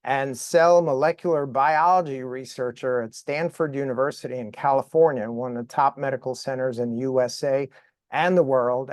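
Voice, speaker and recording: A man hosting a podcast interview over video call, raspy from a recent cold, enthusiastic and full of fillers. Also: medium pitch, no room sound, studio quality. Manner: enthusiastic, halting with many fillers. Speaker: podcast host.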